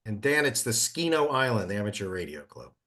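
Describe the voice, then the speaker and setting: A man with a lowish voice talks casually on a conference call. He is chatty and a bit halting, often doubling back over his words. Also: big pitch swings, a bit bright. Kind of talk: casual meeting chat. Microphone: teleconference call.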